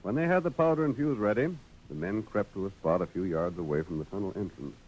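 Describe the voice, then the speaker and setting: A man's voice: deep voice, fast, a little mellow, slightly rough, low-quality recording. An American man narrating a 1930s radio drama in scripted storytelling style.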